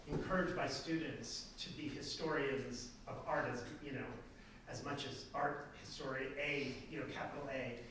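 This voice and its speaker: A man's voice: fairly high pitch, fairly bright tone, a little hiss or hum. A male art history professor talking casually, rambling and restarting his sentences as he answers an audience comment. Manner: rambling, self-interrupting, chatty. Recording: echoey hall, speaker far from the mic.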